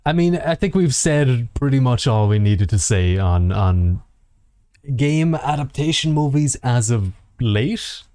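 A man's voice: medium pitch, lively intonation, slow, warm tone, clear, resonant tone, no echo, studio quality. A man chatting casually as a podcast host, sardonic and given to swearing. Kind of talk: casual chat about games and films.

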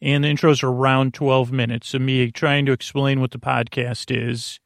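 Creaky-voiced man rambling gently at bedtime. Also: mid-range voice, little pitch movement, moderate pace, no room sound, studio quality. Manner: meandering, hesitant, filler-heavy with tangents; gentle, soothing, quietly whimsical. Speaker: host of a sleep podcast.

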